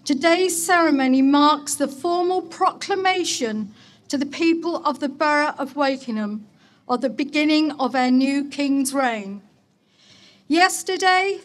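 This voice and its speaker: A woman speaking as a mayor at an outdoor ceremony, reading a formal public address in a solemn, deliberate, declamatory manner. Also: sits high. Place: outdoor market place ceremony.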